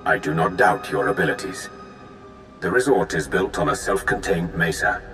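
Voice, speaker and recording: Game villain's loyal advisor: grave, formal Sith lord, very low voice over music. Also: male voice, moderate pace, a bit bright. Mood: solemn, formal. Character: solemn, loyal male Sith lord serving as tactical advisor. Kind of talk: video game voice acting. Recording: music behind the voice.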